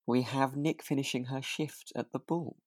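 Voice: mid-range voice, fairly even intonation, moderate pace, dry recording, studio quality. A podcast co-host in casual chat, recapping a drama and hesitantly piecing the story together aloud.

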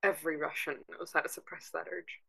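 An actor playing a calm confidant in an audio drama, insistently probing to draw out painful memories. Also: medium pitch, brisk, gravelly, husky, no room sound, full character voice.

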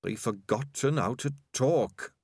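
A man's voice: mid-range voice, expressive, slow, a little mellow, breathy edge, no room sound, studio quality, full character voice. A man voicing a sensitive, fearful male rat who speaks in sparse, grave phrases in an audiobook story.

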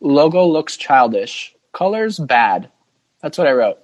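A man's voice: a little high, sing-song, a little slow. A man hosting a casual sports podcast, talking bluntly and informally and putting questions to the others. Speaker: podcast host.

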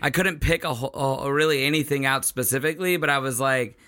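A man co-hosting a music podcast, relaxed and stammering a bit as he thinks aloud. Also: mid-range voice, fast talker. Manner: laid-back, laughs often, stammers when thinking. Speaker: podcast co-host. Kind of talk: casual music chat.

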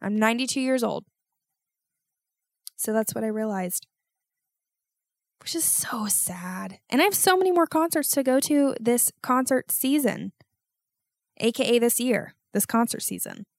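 A young woman hosting a solo podcast, talking in a rambling, self-mocking way with loud outbursts, and sounding congested. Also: fairly high pitch, animated, measured pace, pauses often, no room sound, clean studio sound. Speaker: podcast host.

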